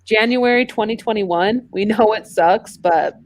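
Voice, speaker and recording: A woman's voice: medium pitch, measured pace. Easygoing casual woman on podcast. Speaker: podcast panelist. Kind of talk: casual group chat about video games.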